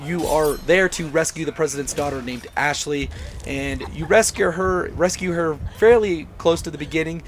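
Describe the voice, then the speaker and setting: A man hosting a gaming channel, talking through a casual game review with a hoarse, worn-out voice after being sick. He sounds enthusiastic, rambles a bit and often corrects himself. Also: fairly high pitch, a little hiss or hum. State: hoarse, voice mostly gone after illness.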